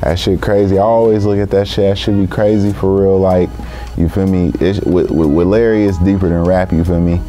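A thirties man from San Francisco talks in a very low, dark, nearly flat voice. His manner is relaxed and full of street slang. Faint music sits behind him in a small studio room. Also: a little fast. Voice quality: very deep voice. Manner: slangy, laid-back street talk, constant "you feel me". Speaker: rapper guest. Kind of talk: casual interview talk.

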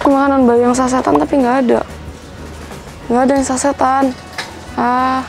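Young female vlogger, chatty and musing aloud, bright-ish higher register, music bed underneath. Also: flat delivery, normal speed, gravelly. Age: young adult. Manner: chatty, thinking aloud while browsing shelves. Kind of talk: casual shopping vlog chat. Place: large supermarket indoors.

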